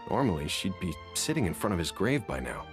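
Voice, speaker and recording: Low-voiced, wistful townsman. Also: big pitch swings, fairly quick. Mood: pitying. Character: sympathetic, plain-spoken townsman, gloomy gossip. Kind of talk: video game character acting. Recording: music behind the voice.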